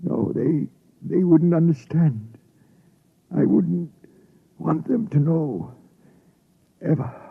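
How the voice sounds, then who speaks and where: A man acting in a 1950s radio drama as a dying, lonely old scientist, weary and halting, in a muffled vintage recording. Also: a little high, lively intonation, creaky, husky, exaggerated voice. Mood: weary, resigned. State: weak and dying.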